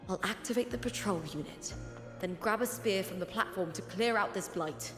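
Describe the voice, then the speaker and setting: A woman's voice: mid-range voice, moderate pace, fairly bright tone, close to an everyday voice. Resolute young warrior woman, voiced by an actress, in cavernous echo. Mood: focused, practical. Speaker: video game character. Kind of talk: scripted game dialogue, thinking aloud. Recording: echoey hall reverb under game music.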